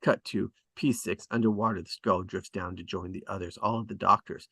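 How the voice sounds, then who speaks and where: A man reads stage directions for a drama table read. His voice sits fairly low, and the sound is very dry, with hardly any room around it. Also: steady tone, brisk, gravelly, pushed and bright, breathy edge, dry recording. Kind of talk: scripted narration at a table read.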